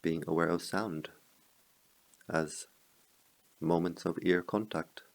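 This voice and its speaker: Very deep, gravelly man guiding meditation. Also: steady tone. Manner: slow, measured phrases with long pauses. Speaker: meditation teacher. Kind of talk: guided meditation.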